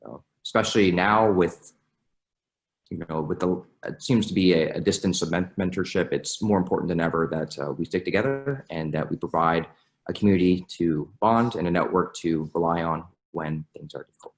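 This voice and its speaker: Deep-voiced male doctor, calm measured testimonial. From Florida. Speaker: emergency physician. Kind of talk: video testimonial.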